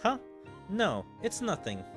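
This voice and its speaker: A man's voice: high-pitched, huge pitch swings, takes it slowly, over music, pitched above the performer's own voice, heavily acted. A man voicing a kindly, reflective young leader and teacher from an anime game, reading the lines aloud. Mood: pensive. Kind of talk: amateur read-aloud of anime game dialogue.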